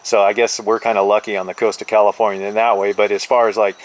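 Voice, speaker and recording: A man's voice: lowish voice, flat delivery, fast. A middle-aged man chatting casually as a radio operator, using laid-back radio lingo, heard over a two-way radio.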